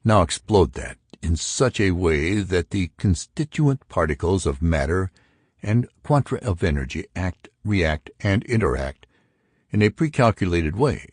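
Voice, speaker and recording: A man's voice: deep voice, big pitch swings, unhurried, darkish tone, slightly rough, dry recording, studio quality, heavily acted. A man voicing an argumentative intellectual who expounds theories to friends in an audiobook. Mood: eager, pedantic. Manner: emphatic, pedantic. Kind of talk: audiobook character dialogue.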